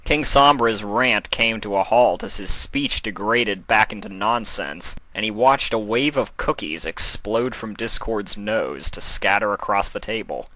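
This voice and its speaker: A man reading scripted fan fiction narration in a measured, expressive way. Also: lowish voice, no room sound, rough recording.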